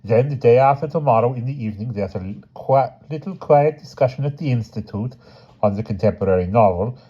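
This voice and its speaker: A man's voice: mid-range voice, fairly quick, slightly rough, lo-fi sound, pitched above the performer's own voice, lightly acted. A man voicing a fussy, eager young male official who gushes apologetically, partly in a put-on Austrian accent. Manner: apologetic and gushing.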